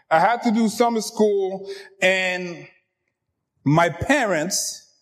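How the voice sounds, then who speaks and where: Pastor, man, French first language, high voice, joking and lively with the congregation. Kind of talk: sermon.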